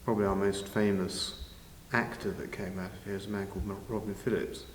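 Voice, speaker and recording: Deep-voiced older Englishman in an echoey hall. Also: flat delivery, brisk. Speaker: interviewee, village pantomime organiser. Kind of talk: informal interview answers. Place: large village hall, indoors. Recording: very echoey hall, faint noise.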